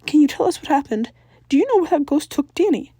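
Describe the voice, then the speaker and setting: A concerned middle-aged mother, voiced by a woman narrator, demanding and insistent. Her voice sits somewhat high, and the recording is dry, as in an audiobook. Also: lively intonation, fairly quick, dark tone, no room sound. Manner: insistent, questioning.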